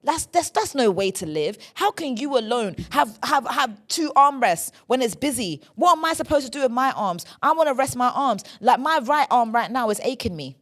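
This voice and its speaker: A woman's voice: on the high side, very animated, normal speed, few pauses. A young woman, hosting a solo podcast and complaining in a chatty way. She ends almost every line with a tag question. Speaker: podcast host.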